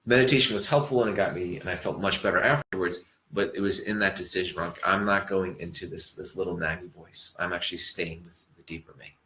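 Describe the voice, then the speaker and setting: A man with a low voice and a bright, forceful edge talks through ideas about mindfulness in a relaxed, meandering way. He often circles back and restarts his sentences. His voice rings in an echoey room. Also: fast, few pauses. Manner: relaxed, rambling, thoughtful with frequent restarts. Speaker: acupuncturist giving a mindfulness talk. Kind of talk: reflective talk to an audience.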